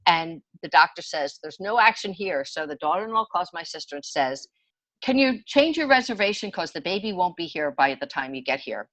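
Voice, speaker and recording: A woman in her sixties with a slightly low voice, recorded dry without room echo. She talks like a podcast guest swapping personal stories, chatty and emphatic as she moves from one anecdote to the next. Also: lively intonation, brisk, a bit bright. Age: 60s. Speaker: podcast guest, homeopathy enthusiast. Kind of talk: conversational personal storytelling.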